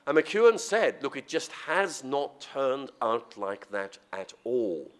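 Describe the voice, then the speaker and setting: A middle-aged man giving a public lecture, his voice husky and sore from a cold, speaking in a conversational, wry way. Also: medium pitch, big pitch swings, takes it slowly. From Northern Ireland.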